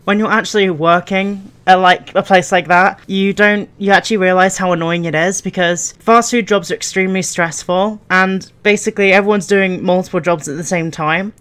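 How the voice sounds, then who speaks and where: A woman's voice: on the low side, fairly bright tone. A woman YouTuber giving casual, rambling commentary in an informal way.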